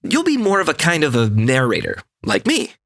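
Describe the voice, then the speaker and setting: A man's voice: on the high side, wildly swooping pitch, moderate pace, fairly bright tone, full character voice. A man playing a patient, awkward radio announcer in a comic audio drama, by turns hesitant and theatrical. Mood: placating. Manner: hesitant in dialogue, grandly theatrical when narrating. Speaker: narrator.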